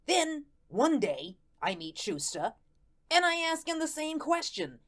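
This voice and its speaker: A woman's voice: high voice, big pitch swings, unhurried, a bit bright, full character voice. A woman playing a naive, lovelorn male ghost who narrates his story in folksy, slangy talk, in the style of a radio play. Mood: wistful, earnest. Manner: folksy, colloquial, guileless storytelling.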